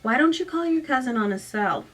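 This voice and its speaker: A woman's voice: sits high, animated, a little fast, a bit bright, pitched above the performer's own voice. A woman voicing a skeptical male doorman in a story, terse and condescending, heard a little away from the mic. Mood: doubtful. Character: gruff, skeptical male party doorman with guest list.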